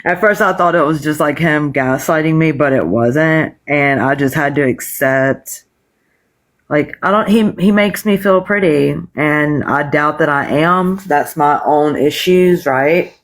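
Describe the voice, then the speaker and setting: Deep-voiced woman with a country twang. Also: measured pace. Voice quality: deep voice with bass; smooth, calming. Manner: candid, frequent swearing and laughter. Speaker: guest. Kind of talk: casual live chat.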